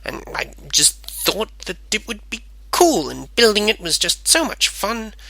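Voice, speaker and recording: A proud, eager inventor from a storybook, voiced by a man. He speaks with animated pitch and a bright, forceful tone, slightly high for a man. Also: a little slow, gravelly, dry recording, heavily acted. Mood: eager, earnest. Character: eager, proud male amateur inventor of robots.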